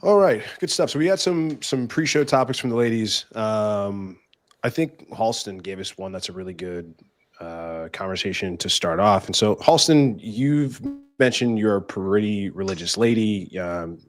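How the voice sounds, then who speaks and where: A man hosts a panel discussion over a video call, his voice sitting on the low side. He keeps things easygoing, with loose fillers between questions. The sound is dry and close. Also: normal speed, dry recording. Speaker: podcast host. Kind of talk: casual panel moderation.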